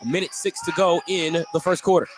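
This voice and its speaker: A man's voice: high voice, fairly even intonation, fairly quick. A man doing live radio play-by-play of basketball from courtside, describing each move in clipped, detailed phrases. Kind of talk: live sports play-by-play commentary. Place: courtside in a school gym. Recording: noisy live gym broadcast.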